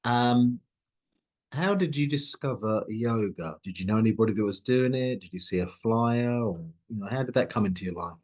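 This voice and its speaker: A man's voice: on the low side, moderate pace, darkish tone. Upbeat man hosting an interview. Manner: upbeat, restarts phrases, encouraging. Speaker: interview host, company CEO. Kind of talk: friendly interview questions.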